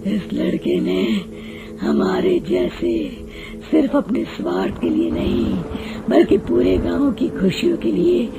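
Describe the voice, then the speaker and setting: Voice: medium pitch, wildly swooping pitch, slow, creaky, over music, exaggerated voice, rough recording. A performer voicing the menacing, commanding ghost of a dead king in a story, making threatening pronouncements. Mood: solemn, proclaiming.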